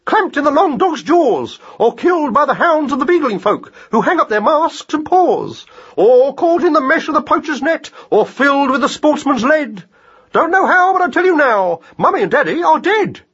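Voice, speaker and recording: A man voicing an excitable, boxing-mad hare in a storybook, exclamatory and sing-song, bossy as a ringside coach. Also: very high voice, moderate pace, lo-fi sound, voice pushed up, exaggerated voice.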